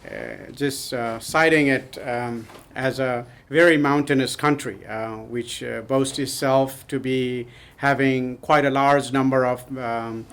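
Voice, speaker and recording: A man's voice: medium pitch, steady tone, slow, vocal fry, few pauses, fairly bright tone, smooth. A man from Nepal, answering interview questions hesitantly with many ums and uhs. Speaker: podcast guest. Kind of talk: casual interview.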